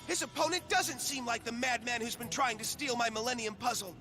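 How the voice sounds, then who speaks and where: A man's voice: extremely high pitch, a little fast. Earnest teen boy hero. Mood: puzzled. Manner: thoughtful, sincere. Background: dub soundtrack music.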